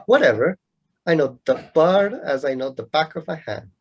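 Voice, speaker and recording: Man as sarcastic young male student, lovelorn rival, mocking and bookish, slightly high voice, radio play. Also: lively intonation, moderate pace. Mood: proud, defiant. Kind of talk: amateur radio play acting.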